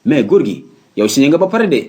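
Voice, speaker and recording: A man's voice: a little high, very animated, quick pace, a little mellow, a little hiss or hum. A man playing a breezy, matter-of-fact employer with a Senegalese accent in a comedy cartoon. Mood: matter-of-fact, amused. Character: breezy male employer hiring a night watchman.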